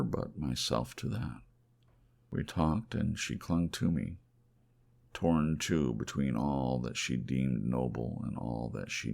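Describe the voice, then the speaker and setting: An extremely low-pitched man narrates a literary audiobook. His voice has a heavy creak, and his delivery is measured and expressive, suited to ASMR storytelling.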